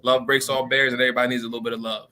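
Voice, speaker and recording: A man with a bright, forward voice explains his cooking plainly and earnestly to live-stream viewers. A faint noise runs underneath. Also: mid-range voice, level tone, rapid-fire. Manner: friendly, earnest, explains simply. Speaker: private chef guest.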